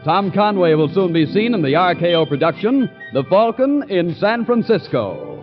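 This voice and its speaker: High-voiced announcer guy, old radio, music behind, sales-y. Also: big pitch swings, moderate pace. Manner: polished sales pitch. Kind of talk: radio commercial read. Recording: muffled 1940s radio with music.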